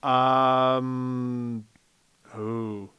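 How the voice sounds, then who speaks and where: A man's voice: medium pitch, level tone, very slow, smooth, dry recording, highs cut off. A man speaking as a podcast guest in casual chat, thinking aloud hesitantly as he answers. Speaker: podcast guest, festival co-chair.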